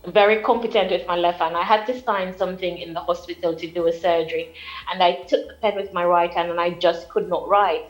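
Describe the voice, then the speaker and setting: A woman's voice: lowish voice, steady tone, a little fast, rough recording. A young adult woman from Jamaica with a British-sounding accent, explaining things at length as a guest in a live TV interview.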